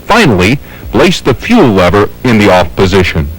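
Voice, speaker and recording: American guy narrating a training film, lowish voice but pitch moves around a lot, formal and step by step. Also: sing-song, moderate pace, slightly rough, rough recording. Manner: formal, methodical, step-by-step delivery. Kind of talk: scripted instructional narration.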